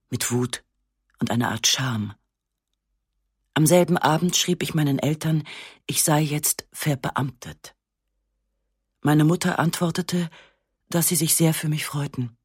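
A woman reading a literary first-person story in a sober, measured, matter-of-fact way. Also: low voice, husky, dry recording, clean studio sound. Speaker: narrator.

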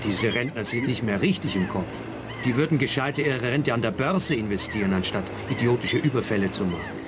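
A man's voice: mid-range voice, expressive, brisk, background noise, natural voice. A man playing a curt, impatient and haughty businessman in a radio play, brusque and dismissive. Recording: loud outdoor boat ambience behind. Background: boat deck ambience.

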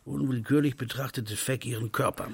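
An actor playing a measured male narrator of a seedy love story in a literary radio play. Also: mid-range voice, fairly even intonation, vocal fry, husky. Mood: measured, intimate.

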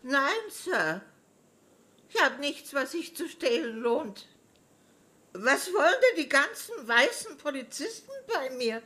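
A woman's voice: high voice, sing-song, slow pace, bright, forceful tone, full character voice. An actress playing a meek, God-fearing old grandmother in a radio crime drama, deferential and plaintive. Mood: meek, uneasy.

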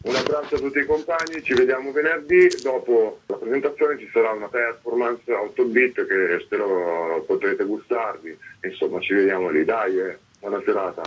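A man's voice: fairly low pitch, normal speed, hardly any pauses, husky. A man being interviewed, explaining things informally in a discursive, analytical manner. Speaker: interviewed author. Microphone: phone call. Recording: muffled narrowband telephone line.

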